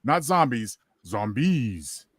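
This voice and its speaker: A man's voice: medium pitch, very animated, takes it slowly, fairly bright tone. A man chatting casually and jokingly as a panelist.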